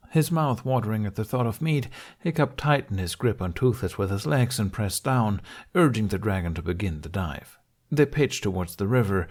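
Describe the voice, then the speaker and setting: A man's voice: on the low side, a little mellow. A man reading a scripted story aloud as an audiobook narrator.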